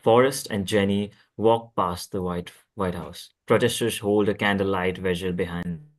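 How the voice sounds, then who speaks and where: Male stage-direction reader, lower register, halting table-read delivery with repeated words. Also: fairly even intonation. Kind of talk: scripted narration at a table read.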